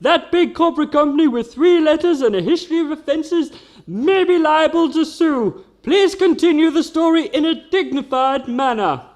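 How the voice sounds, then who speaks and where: A man's voice: very high voice, lively intonation, moderate pace, darkish tone. A man playing a fussy, formal narrator and legal adviser, speaking dramatically in a scripted radio comedy. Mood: stern.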